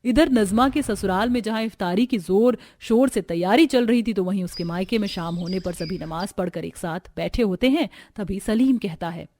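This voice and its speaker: A woman reading scripted narration for an animated family story, in a storytelling style. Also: medium pitch, normal speed, hardly any pauses.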